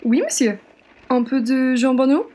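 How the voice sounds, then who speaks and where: A woman's voice: high-pitched, measured pace, warm tone, faint music behind. A woman playing a generous young woman traveller in a French radio play, courteous and deferential in manner. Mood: obliging.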